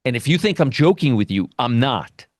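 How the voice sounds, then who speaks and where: A man's voice: medium pitch, big pitch swings, normal speed, darkish tone, dry recording, clean studio sound. A man hosting a live trading webinar, teaching options and often checking in with "you understand?" as he repeats his points. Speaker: options trading educator, show host.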